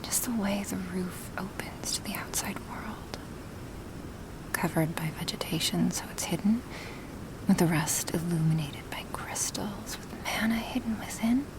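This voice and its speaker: A woman playing a gentle, apologetic female dragon in a soft-spoken ASMR roleplay, breathy and formal in her careful phrasing. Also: mid-range voice, a little slow, lightly acted, poor audio. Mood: awed, tender. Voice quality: breathy, half-whispered.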